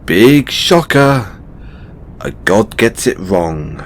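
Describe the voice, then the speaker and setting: A man playing an affectionate, stressed god and boyfriend in an intimate audio roleplay, speaking in a halting, softly playful way. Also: lowish voice, big pitch swings, slight background noise, exaggerated voice. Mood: weary, exasperated.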